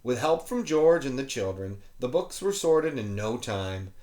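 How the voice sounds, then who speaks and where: A man's voice: medium pitch, sing-song, unhurried, darkish tone. A man reading a children's picture book aloud expressively, with playful asides to young listeners. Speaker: children's story reader, teacher.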